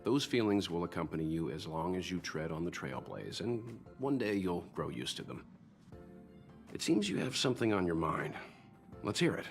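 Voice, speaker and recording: An actor playing a wise, encouraging older mentor in a video game, measured, reflective and reassuring. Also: male voice, low voice, sing-song, gravelly, exaggerated voice. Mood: warmly reflective. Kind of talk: scripted video game dialogue. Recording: faint music underneath.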